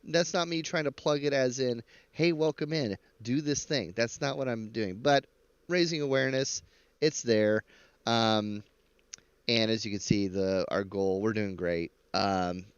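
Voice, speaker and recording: A man's voice: mid-range voice, measured pace, smooth, no room sound, lo-fi sound. A man hosting a casual live stream, giving chatty, rambling, jokey reaction commentary into a close mic.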